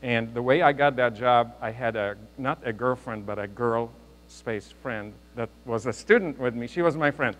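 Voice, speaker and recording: Man in his fifties, earnest motivational speaker who jokes with the crowd, mid-pitched, long hall reverb, low hum behind. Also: moderate pace. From Lebanon. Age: 50s. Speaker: guest speaker. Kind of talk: motivational speech to a youth audience. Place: large hall with a live audience.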